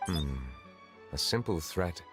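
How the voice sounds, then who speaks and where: A grave, courteous judge in a video game. His voice is low and calm, formal and measured, with faint music underneath. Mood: composed, concerned. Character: composed, formal, dignified male chief judge, courteous and grave. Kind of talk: scripted video game voice acting.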